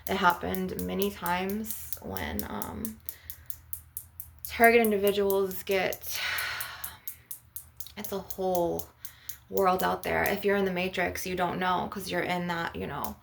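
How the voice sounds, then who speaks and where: A woman's voice: on the low side, takes it slowly, creaky, long pauses, faint music behind. A woman speaking as an ASMR energy healer in an informal, rambling spiritual session, with a blunt, assertive, emphatic way of talking.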